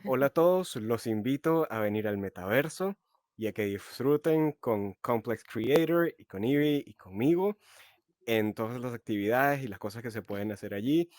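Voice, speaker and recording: A man's voice: mid-range voice, animated, brisk, a little mellow, no echo. A Venezuelan man hosts a podcast in an easygoing, chatty way, laughing often between thoughts. His voice sits in an ordinary middle register. The sound is close and dry. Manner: easygoing, with frequent laughs and fillers. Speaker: podcast host. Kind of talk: casual chat.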